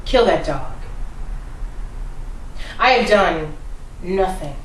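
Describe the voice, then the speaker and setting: A woman performing a dramatic monologue as a defiant, oppressed defendant, speaking deliberately and solemnly with weighted pauses. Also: on the low side, expressive. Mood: grave conviction, then quiet accusation. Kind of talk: dramatic courtroom monologue reading. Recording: roomy, distant mic with faint noise.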